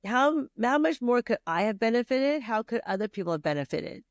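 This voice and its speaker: A woman's voice: on the high side, sing-song, fairly quick, dry recording, studio quality. A middle-aged woman from Vietnam, guest on a podcast, talking casually with many fillers, restarts and laughs. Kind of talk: casual conversational interview.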